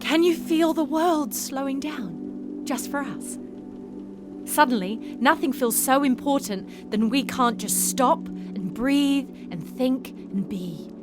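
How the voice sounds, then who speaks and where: A woman's voice: high-pitched, lively intonation, slow. A woman acting a free-spirited, dreamy young sailor in a Regency-era audio drama, poetic and full of wonder. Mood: dreamy, encouraging. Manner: wonder-filled, poetic, effusive. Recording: music bed behind the voice.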